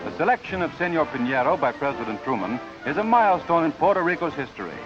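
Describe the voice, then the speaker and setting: American male newsreel narrator, 1940s, slightly high voice, declamatory, muffled old soundtrack. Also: big pitch swings, fast. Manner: formal, declamatory announcer delivery. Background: music.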